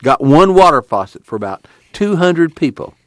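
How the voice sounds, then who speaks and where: A man's voice: a little high, very animated, normal speed, fairly bright tone, dry recording, pitched above the performer's own voice. A man voicing a sad young migrant in a storybook, with plaintive rural speech. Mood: aggrieved. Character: sad young male migrant camp dweller.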